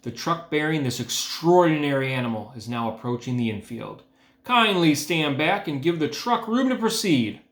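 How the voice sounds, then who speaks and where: A man voicing a pompous fairground loudspeaker announcer from a storybook, grand and ceremonial in delivery. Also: fairly high pitch, expressive, normal speed. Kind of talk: storybook character voice in a read-aloud. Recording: echoey room.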